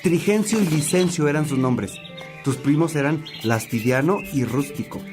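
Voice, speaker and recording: A man's voice: fairly high pitch, moderate pace, music in the background, lightly acted. A man acting a genial priest and old companion who likes reminiscing, in a religious radio drama. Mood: instructive, genial.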